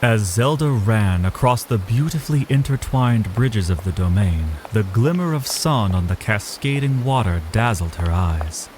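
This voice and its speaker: A man's voice: on the low side, animated, moderate pace, few pauses, a little mellow. A man giving dramatic scripted narration of a fantasy adventure. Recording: noisy ambience behind the voice.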